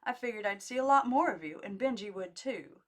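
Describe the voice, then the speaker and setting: A woman's voice: mid-range voice, animated, brisk, close to an everyday voice. A woman voicing a brash, plucky young mother in an audiobook, with emphatic, colloquial delivery. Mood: spirited. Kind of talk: audiobook character dialogue.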